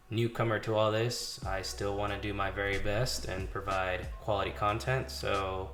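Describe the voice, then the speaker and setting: A man recovering from an illness, his voice still hoarse, hosting a casual vlog from his garage workbench and talking through new knives with easy fillers. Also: on the low side. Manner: relaxed, talks through items with fillers. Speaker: channel host, knife hobbyist. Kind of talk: casual vlog show-and-tell.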